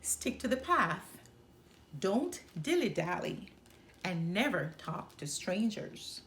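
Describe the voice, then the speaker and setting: A woman's voice: mid-range voice, big pitch swings, slow, fairly bright tone, echoey room, slight background noise. A woman voicing a careful, firm mother giving instructions in a storybook reading. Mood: cautioning.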